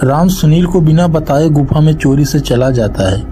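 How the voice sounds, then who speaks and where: Brief: steady male storybook narrator, over clear background music. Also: a little high, moderate pace. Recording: music playing underneath.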